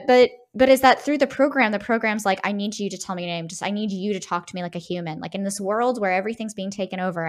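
A woman co-hosting a podcast in casual discussion, often tagging her phrases with a questioning "right". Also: medium pitch. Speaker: podcast co-host. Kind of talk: casual discussion of a video game.

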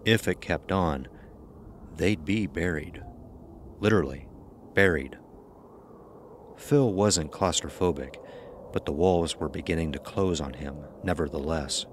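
A man's voice: rumbling low, a little slow, long pauses, a little hiss or hum. A man giving scripted narration for a horror audio drama.

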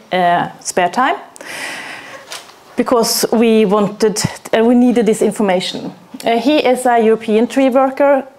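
A Norwegian woman in her thirties gives a lecture in a small hall, picked up by a camera set back in the room. She talks slowly, with steady pauses and filler words, and turns questions to the audience as she goes. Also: mid-range voice. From Norway. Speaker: arboriculture teacher giving a talk. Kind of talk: presentation to an audience. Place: small hall with plywood walls and a projector screen. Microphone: far camera, no mic seen.

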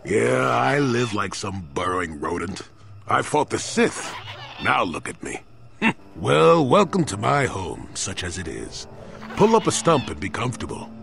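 An actor playing a crotchety old hermit Jedi in a video game, with a wry, gruff, sardonic manner. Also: male voice, fairly low pitch, big pitch swings, measured pace, fairly bright tone, full character voice. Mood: wry, grumbling, then wry, self-mocking. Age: older. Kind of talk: video game character acting.

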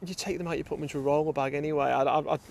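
A man's voice: a little high, steady tone, very fast, vocal fry, darkish tone. A middle-aged British man chatting enthusiastically about fishing tackle outdoors on a lake bank, often repeating words as he starts a sentence. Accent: British English. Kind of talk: casual chat.

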